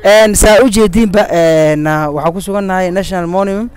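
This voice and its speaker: Somali guy vlogging outside, high voice, choppy broken english. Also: a little mellow, smooth, dry recording. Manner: halting, broken English with restarts. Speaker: vlogger host. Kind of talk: casual street vlog interviews. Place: outdoors at a city monument.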